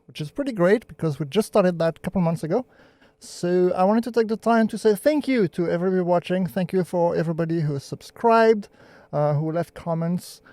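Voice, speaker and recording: A man from Canada hosting a live podcast in casual chat, relaxed and playful. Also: high voice, no room sound. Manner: relaxed, playful, thanks viewers warmly. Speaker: podcast host.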